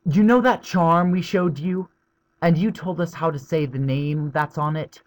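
A woman plays a confident older boy from a storybook. The voice is low for a female one, and the delivery is abrupt and forthright. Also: a little slow. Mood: abrupt curiosity. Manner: abrupt, direct. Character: forthright, confident eldest brother, a schoolboy. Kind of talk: dramatized children's audiobook.

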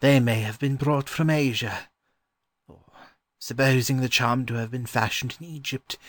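A man acting as a weary old-fashioned scholar from a storybook, gentle and courteous. His voice sits at a medium pitch and he speaks in an unhurried, learned way, recorded dry. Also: no room sound, natural voice. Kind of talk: dramatized children's audiobook.

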